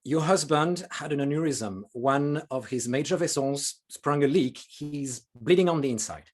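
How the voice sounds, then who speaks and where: Woman doing a calm reassuring er medic, lowish voice, script read. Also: moderate pace. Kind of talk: rehearsed table read acting.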